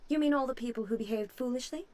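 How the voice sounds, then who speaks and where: A woman voicing a reserved, unworldly divine ruler in a video game, speaking in a measured, formal way. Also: on the high side, very noisy, natural voice. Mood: composed.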